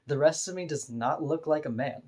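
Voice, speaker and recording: A woman with a deep voice, talking bluntly and frankly in a candid personal vlog monologue, laughing now and then between points. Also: dark tone, breathy edge. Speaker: vlogger.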